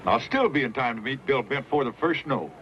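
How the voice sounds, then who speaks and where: Man acting a folksy frontier old hand, swinging pitch. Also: animated, brisk, noisy background, close to an everyday voice. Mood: earnest. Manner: folksy, rustic frontier grammar. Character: rough-hewn, loyal frontier old hand named Tobias, plain-spoken. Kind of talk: western radio drama acting. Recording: dull lo-fi radio recording, music.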